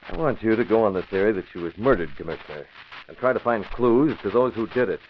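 A man's voice: lowish voice, lively intonation, fast, a little hiss or hum. An actor playing a determined, skeptical male hero, firm and insistent, in an old-time radio drama. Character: determined, skeptical young man, investigator hero. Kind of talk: 1940s radio drama acting. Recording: dull vintage radio recording.

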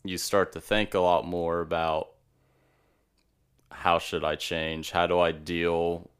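Young male podcast co-host, low smooth voice, musing and rambling. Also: flat delivery, slow, fairly bright tone. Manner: thoughtful, rambling explanations. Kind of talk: casual chat.

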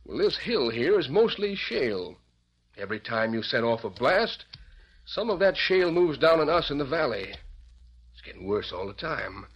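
An American actor playing an earnest, resolute old settler leader with folksy, measured speech in a radio Western. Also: male voice, mid-range voice, big pitch swings, husky. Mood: earnest, reasoning. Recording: muffled vintage radio recording.

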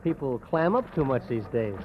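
An actor playing a chatty, wisecracking newspaper reporter, in 1940s radio acting. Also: male voice, mid-range voice, animated, normal speed, natural voice. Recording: dull old radio recording with faint noise.

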